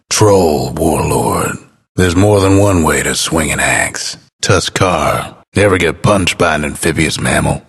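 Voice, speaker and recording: An actor playing a wry, folksy, world-weary frontier narrator as a video game announcer, gravelly and drawling. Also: male voice, a bit bright, exaggerated voice. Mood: wry, deadpan. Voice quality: deep, gravelly, husky. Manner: laconic, drawling, dryly amused.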